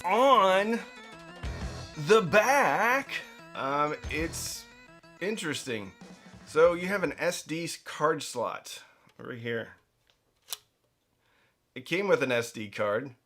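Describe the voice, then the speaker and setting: A man's voice: a little high, big pitch swings, takes it slowly, long pauses, sharp tone, faint music behind. Casual man reviewing audio gear. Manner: conversational, playful asides, emphatic stresses. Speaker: reviewer and host of a budget audio gear channel. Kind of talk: casual product review talk to camera.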